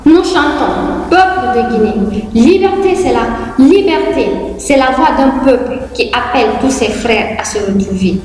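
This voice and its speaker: A long, echoing reverb surrounds a young woman. Her voice is fairly high. She recites patriotic poetry solemnly, declaiming it in short, deliberate phrases. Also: animated, a little mellow, a little hiss or hum. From Guinea. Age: young adult. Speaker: poet. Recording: very echoey, long hall reverb.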